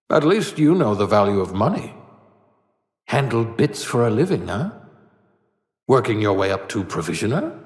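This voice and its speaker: An actor voicing an eccentric older mad scientist in a video game, sardonic and theatrical, given to wry muttered asides. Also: male voice, mid-range voice. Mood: dry mockery. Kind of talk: video game character voice acting. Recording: echoey hall-like reverb.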